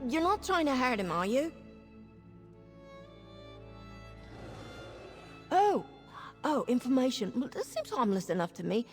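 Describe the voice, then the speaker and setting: A woman's voice: sits high, very animated, fairly quick, faint music behind. An actress playing a drunken female squatter in a fantasy video game, rambling, stumbling over words and lying badly. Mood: tipsy, muddled. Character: tipsy, rambling female squatter, chatty shameless bad liar. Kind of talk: video game character dialogue.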